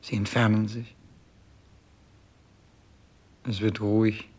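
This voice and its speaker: Dying monarch, husky, very slow, halting, grandiose and bewildered, radio drama declamation. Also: male voice, on the low side, monotone, darkish tone. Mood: dazed, fading. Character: dying king, bewildered, self-absorbed and grandiose. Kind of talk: 1960s German radio play acting.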